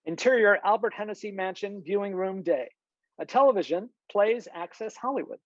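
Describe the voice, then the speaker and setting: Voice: mid-range voice, animated, brisk, dry recording. A narrator reading out screenplay stage directions in scripted narration over a video call. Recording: dull, lo-fi video call audio.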